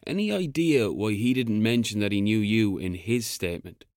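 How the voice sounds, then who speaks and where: A man's voice: fairly low pitch, animated, moderate pace, a little mellow, dry recording, clean studio sound. An actor playing a serious, terse male police officer who asks blunt, probing questions in an audio drama. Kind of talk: naturalistic audio drama acting.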